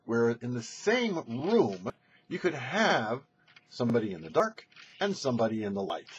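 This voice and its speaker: Casting: man, rabbi presenter for scripted religious commentary. Strongly husky voice, lively intonation, explanatory teaching delivery. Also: mid-range voice, highs cut off. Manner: didactic, poses questions then answers them. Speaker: rabbi hosting a weekly Torah show.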